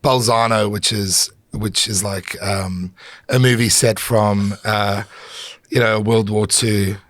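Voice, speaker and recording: South African man, low voice, dry close mic, candid and sweary, podcast guest. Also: fairly even intonation, slow pace, a bit bright, dry recording. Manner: candid, swears freely, many fillers and restarts. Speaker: guest chef. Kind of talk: podcast conversation.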